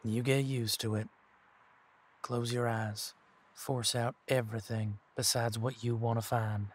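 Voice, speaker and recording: Man acting werewolf leader, flat intonation, calm, serious, deliberate, commanding, audio drama. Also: mid-range voice, breathy edge. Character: male werewolf pack leader, steady, commanding, protective.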